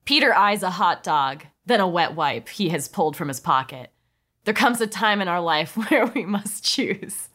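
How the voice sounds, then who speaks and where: A woman's voice: lowish voice, animated, normal speed, fairly bright tone. A woman reading stage directions in an amused way as the narrator of a comedic script table read.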